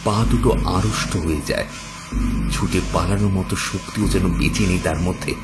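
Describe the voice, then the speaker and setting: A man with a low voice reads a horror tale as narrator. His delivery is dramatic and scripted, with loud music running behind it. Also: brisk. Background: loud horror background music.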